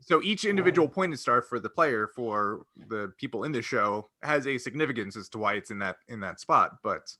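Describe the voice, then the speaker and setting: A man's voice: mid-range voice, animated, normal speed, few pauses, pushed and bright. A man co-hosting a podcast in a casual group chat, with a wry, joking manner. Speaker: podcast co-host.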